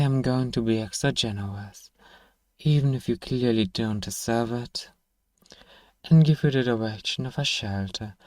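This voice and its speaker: A man acts out an irritable fantasy apothecary in an ASMR roleplay, close and dry in sound. He speaks slowly at a middling pitch, with haughty sarcasm and weary condescension. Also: warm tone, no room sound, natural voice. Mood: exasperated, dismissive. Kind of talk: scripted ASMR roleplay monologue.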